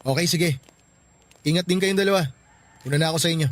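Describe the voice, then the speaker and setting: A man's voice: a little high, quick pace, fairly bright tone, dry recording, natural voice. Actor as light-voiced, easygoing young Filipino man. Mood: polite. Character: friendly, helpful, easygoing young male coworker. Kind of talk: Tagalog radio drama acting. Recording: music bed behind the voice.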